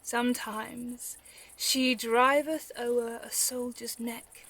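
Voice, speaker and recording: A high, forceful voice of a woman performing a mercurial, witty dreamer in Shakespearean audio drama. The verse is drawn out slowly and theatrically, every fanciful image relished. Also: full character voice, rough recording. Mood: fierce, excited. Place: home recording. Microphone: phone.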